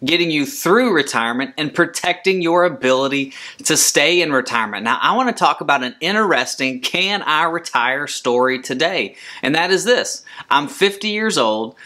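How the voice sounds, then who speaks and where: Bright-voiced man explaining, emphatic. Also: on the high side. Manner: emphatic, repeats key points. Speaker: host, financial advisor and retirement counselor. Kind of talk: scripted explainer talk to camera.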